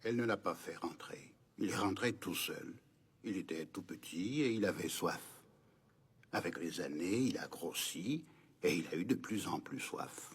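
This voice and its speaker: A man voicing a wise old grandfather in an animated film, kindly and solemn, explaining patiently in a husky, breathy voice. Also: low-pitched, normal speed, heavily acted. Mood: calm, explanatory. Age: older.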